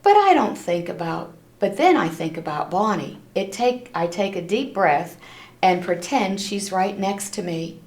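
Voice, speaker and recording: A woman's voice: mid-range voice, lively intonation, measured pace, darkish tone. A woman reading a children's storybook aloud expressively, with simple storytelling and little asides to listeners. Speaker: storybook reader. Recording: echoey room.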